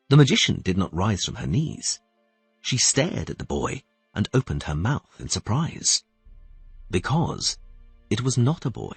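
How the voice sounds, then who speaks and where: A man narrating a fantasy audiobook as scripted storytelling, with expressive, dramatic phrasing. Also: low voice, slightly rough, dry recording.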